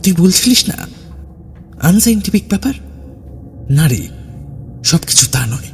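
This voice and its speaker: A man's voice: sits high, very animated, a little slow, gravelly, slight background noise, pitched above the performer's own voice, full character voice. A man voicing a self-assured, obsessed young man who explains earnestly and persuasively in an audio horror story. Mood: earnest persuasion.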